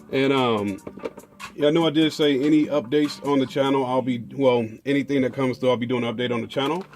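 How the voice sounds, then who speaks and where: A man's voice: a little high, a little mellow. Rambling man in a garage. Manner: rambling, drawling, many fillers and restarts. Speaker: car audio vlogger. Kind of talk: casual unboxing chat.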